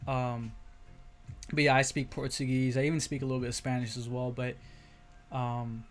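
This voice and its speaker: A man's voice: medium pitch, little pitch movement, normal speed. A man with an American accent talking casually and informally as a livestream host, repeating himself and often checking in with listeners. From Brazil, moved to the United States as a young child. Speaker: livestream host, crypto trader.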